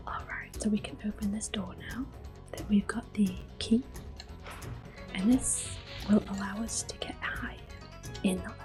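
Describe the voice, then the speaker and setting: A woman gives ASMR gameplay commentary in a soft, breathy whisper. Her delivery is gentle and very unhurried, with video game music clearly playing behind her. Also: medium pitch. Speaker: game streamer.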